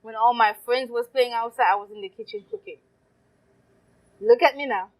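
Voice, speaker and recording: A woman, in her thirties, tells stories with lively energy and frequent laughter. Her voice sits on the higher side for a woman. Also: moderate pace, low-quality recording. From Haiti. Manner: lively storyteller, laughs often. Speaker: guest, caterer and café owner. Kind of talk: casual interview storytelling. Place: farmers market.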